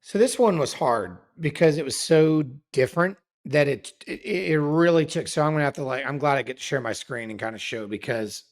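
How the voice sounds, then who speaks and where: A man's voice: a little high, animated, fairly quick, hardly any pauses. A man as a guest researcher in a casual scholarly discussion, careful and self-correcting, explaining his findings at length.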